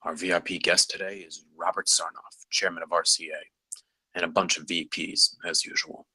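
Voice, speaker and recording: A man playing a composed, wizened designer in his sixties at a script table read, husky and assured. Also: low-pitched, fairly even intonation, moderate pace, bright, forceful tone, dry recording, heavily acted, rough recording. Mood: wry. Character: wizened 66-year-old veteran designer, composed and focused.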